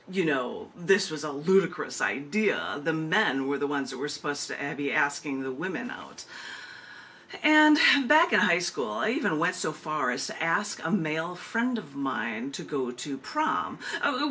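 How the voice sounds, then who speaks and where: A woman hosting a virtual open mic, reading her poems and introducing them casually, with deliberate, emphatic phrasing and drawn-out pauses. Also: low voice, pushed and bright. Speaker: open mic host and poet. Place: virtual open mic over video call.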